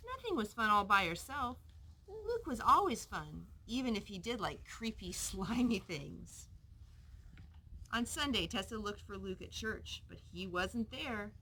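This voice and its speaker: A woman reading a children's story aloud in an expressive way, putting questions to her young listeners. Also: mid-range voice, measured pace, slight background noise, poor audio.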